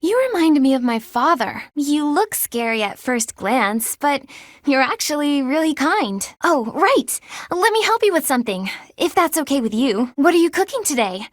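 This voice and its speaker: An actress playing an earnest, clumsy young woman student in a video game, excitable and easily flustered. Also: high voice, moderate pace, no room sound, studio quality. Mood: earnest, grateful. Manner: animated, easily flustered, hums silly made-up songs. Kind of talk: video game character dialogue.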